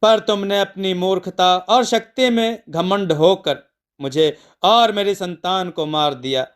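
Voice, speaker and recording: Dying forest spirit woman, done by a guy narrating, angry and accusing, slow clear delivery, myth story. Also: high voice. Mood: angry, anguished. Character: dying female forest guardian spirit, wrathful and cursing.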